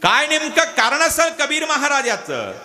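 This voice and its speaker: A man's voice: very high-pitched, big pitch swings, normal speed, background noise, pitched above the performer's own voice. A male preacher voicing stubborn, astonished pilgrims in a devotional story, questioning insistently.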